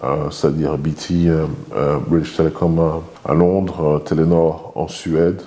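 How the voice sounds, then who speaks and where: Deep mellow-voiced man in his forties. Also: level tone, a little slow. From Congo. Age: 40s. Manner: thoughtful, with many hesitations and repeated words. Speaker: guest, father of the host. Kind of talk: relaxed interview talk.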